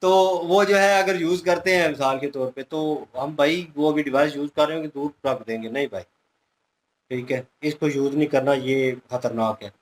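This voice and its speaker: A man's voice: on the high side, moderate pace. A man giving casual, conversational reaction commentary as a video host, explaining things with everyday examples.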